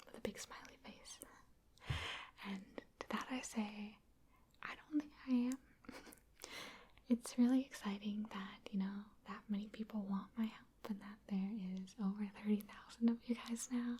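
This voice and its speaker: A woman from Wisconsin, an ASMR creator, answering viewer questions in a soft, chatty, self-deprecating whisper. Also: medium pitch, slightly rough. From Wisconsin, USA.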